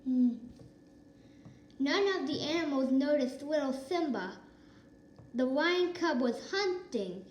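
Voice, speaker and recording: Lady narrating a kids storybook, high pitched voice, echoey room w a little noise. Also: animated, slow, long pauses. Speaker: storybook reader. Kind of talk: children's read-aloud narration.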